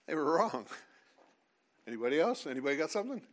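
Animated man leading a lively seminar. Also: fairly high pitch. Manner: animated, teasing, calls on audience. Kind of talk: lively creationist talk with audience. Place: hall with live audience.

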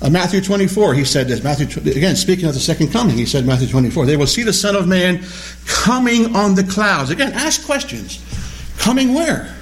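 A man teaching a Bible study class, animated and questioning, repeating key words as he explains them. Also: high voice, fairly quick. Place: classroom or church hall with a small group.

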